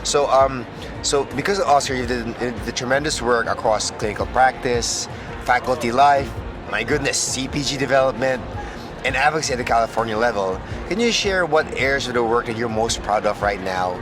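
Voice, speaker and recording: A male podcast host interviewing a guest at a conference booth, effusive and enthusiastic, filling pauses with "mm" and laughs. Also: mid-range voice, over music. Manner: effusive, frequent "mm" affirmations and laughs.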